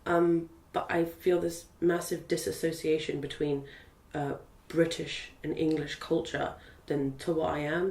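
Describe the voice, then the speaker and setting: A woman's voice: deep voice, darkish tone. A young woman from Zimbabwe whose first language is Portuguese, chatting as an interviewee with lots of fillers. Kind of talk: casual interview. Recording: roomy sound from a distant mic.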